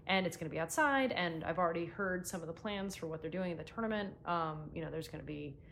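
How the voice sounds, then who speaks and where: Woman, podcast co-host, lower voice, lively, casual swearing, faint rumble. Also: quick pace, gravelly. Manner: animated, enthusiastic, swears casually. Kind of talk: casual chat.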